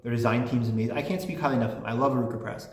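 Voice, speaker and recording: A man's voice: medium pitch, racing. Rambling chatty man in an echoey room. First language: English and Polish. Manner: rambling, chatty, many restarts and fillers. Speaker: channel host answering fan mail. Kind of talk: casual chat, reading listener letters.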